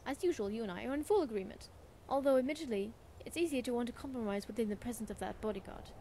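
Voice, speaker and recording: A woman's voice: on the high side, fast, dark tone, slight background noise, natural voice. A woman playing a haughty, witty scholar-adventurer in a video game, speaking in a smug, articulate and wry way. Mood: smug, measured. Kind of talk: video game character dialogue.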